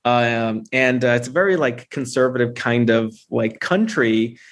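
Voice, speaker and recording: Guy in his 30s from honduras, filmmaker guest, upbeat and rambly, normal pitch. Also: measured pace. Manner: chatty, upbeat, frequent fillers and restarts. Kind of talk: casual podcast interview.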